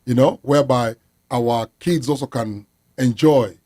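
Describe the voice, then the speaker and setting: Guy talking earnestly in an interview, mid pitch, dry close sound. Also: unhurried, mellow, dry recording. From Kenya. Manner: earnest, explains at length with frequent fillers. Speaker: musician guest. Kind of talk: casual interview talk.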